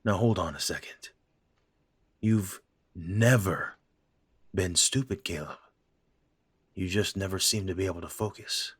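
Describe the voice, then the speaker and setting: A man playing a gruff weary father. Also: low voice. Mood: fond. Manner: blunt, sighing, slow-spoken.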